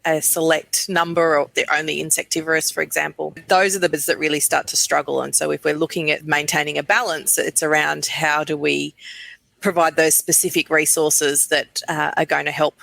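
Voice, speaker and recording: An Australian woman with a bright, forward voice and plenty of vocal fry. She explains as an expert panelist, informative yet conversational, and the sound is close and dry. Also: low voice, level tone, normal speed, no echo. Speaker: bird program manager, expert panel guest.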